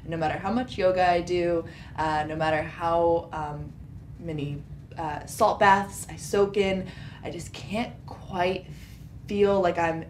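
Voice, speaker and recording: A woman's voice: fairly low pitch, fairly even intonation, slow pace, darkish tone. A woman in her thirties speaking as a patient, giving a casual, detailed account. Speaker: patient, yoga teacher. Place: small carpeted treatment room. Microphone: boom microphone on a stand. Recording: roomy sound with background hiss.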